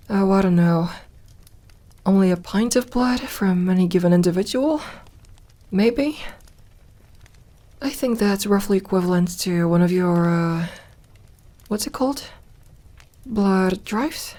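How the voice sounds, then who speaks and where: A woman playing a lonely, lovelorn vampire in an intimate ASMR audio roleplay, gentle and wry, speaking softly with hesitant pauses. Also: medium pitch, lightly acted. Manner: hesitant, soft-spoken, slow with pauses.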